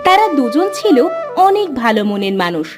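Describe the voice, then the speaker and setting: A woman's voice: fairly high pitch, very animated, normal speed. A woman reading scripted narration for a cartoon story, with music behind her.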